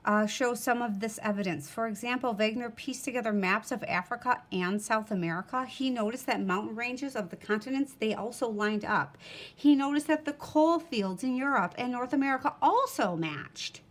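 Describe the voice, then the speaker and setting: Woman teacher reading a textbook aloud. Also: a little high. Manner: informal, explanatory asides. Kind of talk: reading a textbook aloud with explanatory asides to students.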